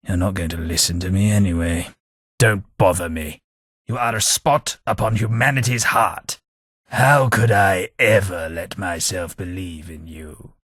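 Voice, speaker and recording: A man's voice: fairly bright tone, no room sound, full character voice. An actor voicing a refined, sardonic old male ghoul companion in a game, dry and cutting in manner. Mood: contemptuous. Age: older. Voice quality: low, dry, measured and cutting. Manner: refined, sardonic, easily offended.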